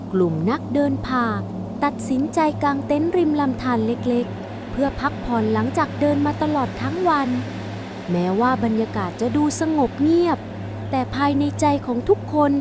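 A woman's voice: high-pitched, noisy background. A woman reading a scripted suspense bedtime story as a storyteller.

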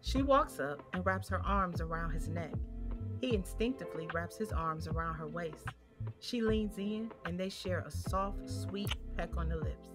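American woman narrating over clear music. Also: lowish voice, a little slow. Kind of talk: scripted present-tense audio drama narration.

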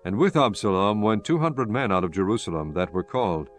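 Low-pitched male Bible narrator. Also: animated, brisk.

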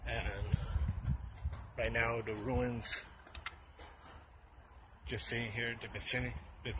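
A man's voice: mid-range voice, level tone, slow, noisy background. A man vlogging as he walks through outdoor hotel ruins, talking in a loose, rambling way and correcting himself as he goes. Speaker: urban explorer vlogger. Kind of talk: casual walking narration.

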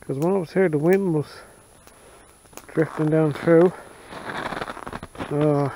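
A man's voice: a little high, steady tone, background noise. Folksy man in snowy woods. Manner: plain, unhurried, folksy. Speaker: bushcraft vlogger. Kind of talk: casual outdoor narration. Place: snowy forest outdoors.

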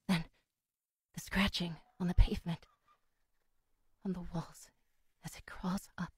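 Lady doing a creepy horror whisper, slow and super breathy, really dry close sound. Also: lowish voice, no room sound, heavily acted.

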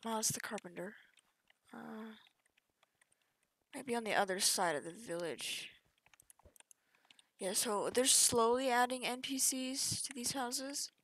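A woman's voice: fairly high pitch, takes it slowly, long pauses, fairly bright tone, rough recording. A young woman casually talking through a game update over screen capture, chatty and offhand, often trailing off mid-sentence. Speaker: gaming youtuber. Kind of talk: casual gameplay walkthrough.

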